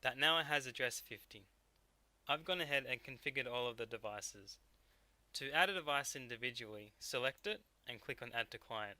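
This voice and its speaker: A man narrating a software tutorial step by step as a technical instructor. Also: medium pitch, expressive, normal speed, no room sound.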